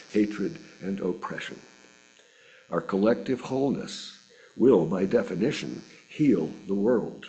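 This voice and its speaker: A man reading a written sermon at a church service, in measured, deliberate phrases with pauses. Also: mid-range voice, warm tone, low-quality recording. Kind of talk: sermon read from a written script.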